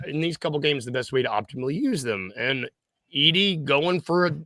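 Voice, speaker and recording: A man's voice: a little high, lively intonation, a little fast, bright, forceful tone, no room sound, clean studio sound. A man co-hosting a casual sports talk show, who stammers through restarts and agrees with enthusiasm. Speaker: podcast co-host.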